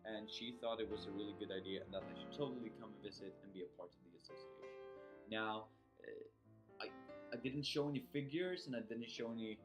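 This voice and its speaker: Low-voiced young man rambling in a vlog. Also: fairly quick, over music. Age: young adult. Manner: rambling, self-correcting. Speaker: vlogger. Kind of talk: casual chat to camera.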